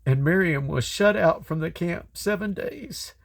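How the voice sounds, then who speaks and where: A man with a fairly high, creaky voice reads scripture aloud. His delivery is solemn and deliberate, set out in short phrases with pauses between them. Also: lively intonation. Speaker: Bible reader. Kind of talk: scripture reading, King James English.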